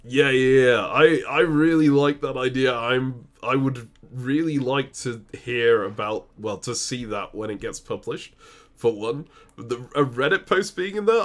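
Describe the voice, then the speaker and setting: Deep-voiced British man chatting. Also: a little slow. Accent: hybrid British accent. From United Kingdom. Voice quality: naturally deep voice. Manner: laughs between answers, chatty. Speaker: co-host. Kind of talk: casual chat. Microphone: remote call recording.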